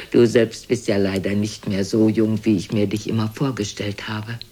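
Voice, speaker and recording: An older woman playing a frail, sharp-tongued, reproachful old mother in a German radio play, scolding theatrically and dropping into whispers. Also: very deep voice, flat delivery, unhurried, breathy edge, full character voice. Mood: needling. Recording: noisy older radio recording.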